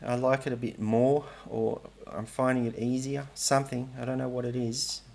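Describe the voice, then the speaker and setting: A man's voice: mid-range voice, level tone, normal speed, creaky, darkish tone. A middle-aged man talking casually in a vlog, rambling and hesitant, often restarting and correcting himself. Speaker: language learning vlogger.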